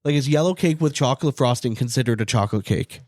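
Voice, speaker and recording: North American man, podcast co-host, casual chat, mid-range pitch, dry close recording, animated storyteller, swears freely, lots of "like". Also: fast, no echo. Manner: profane, animated storytelling with frequent "like".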